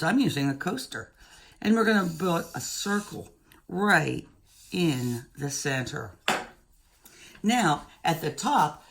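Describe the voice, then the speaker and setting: A woman in her fifties with a low voice, speaking as an elementary teacher. She goes slowly and deliberately, laying out each step for young children. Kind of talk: instructional talk to young children. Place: room at home.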